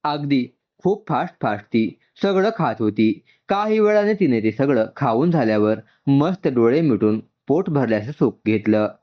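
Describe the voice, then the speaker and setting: A man's voice: mid-range voice, sing-song, moderate pace, hardly any pauses, dull audio. A man narrating a scripted story as a storyteller, voicing its dialogue along the way.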